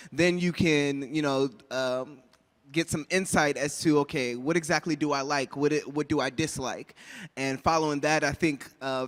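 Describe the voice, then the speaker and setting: Thoughtful male panelist, mid-pitched, pauses with "um" a lot. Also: fairly bright tone. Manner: measured and thoughtful, with many "um"s. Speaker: relationship panel guest. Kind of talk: answering panel questions.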